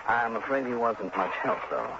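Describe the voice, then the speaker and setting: An actor playing an easygoing, inquisitive insurance investigator and narrator in an early 1960s radio drama, wry and relaxed. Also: male voice, fairly low pitch, normal speed, creaky. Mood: dry narration. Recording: noisy, dull vintage radio recording.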